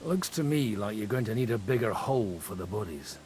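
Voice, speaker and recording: A man playing a worldly medieval parish priest in a video game, measured and dryly witty, with music behind. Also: on the low side, animated, darkish tone, slight background noise, close to an everyday voice. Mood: dry, calm. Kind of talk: video game cutscene acting.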